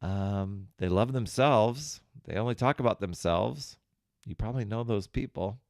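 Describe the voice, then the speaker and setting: A man's voice: fairly low pitch, measured pace, a little mellow, no room sound, clean studio sound. A man hosting a self-help podcast, talking conversationally and encouragingly straight to the listener. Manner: conversational, talks directly to the listener; encouraging, coaching. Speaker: podcast host.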